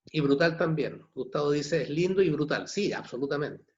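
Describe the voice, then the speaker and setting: A man in his sixties from Chile with a Chilean accent, a novelist answering questions at an online book launch, halting and repeating words. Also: on the high side, lively intonation, darkish tone. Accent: Chilean Spanish. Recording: dull muffled video call audio.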